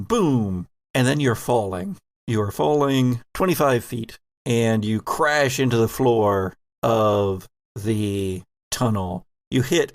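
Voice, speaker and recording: A man narrating a horror tabletop game as game master, telling the story deliberately and dramatically with pauses. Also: fairly low pitch, no echo.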